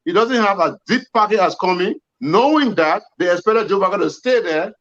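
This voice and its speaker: A man's voice: high voice, animated, normal speed, fairly bright tone. Man, forties, panelist, accusing, insistent, lo-fi sound. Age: 40s. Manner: emphatic, accusing. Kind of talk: heated political debate. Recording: dull lo-fi audio.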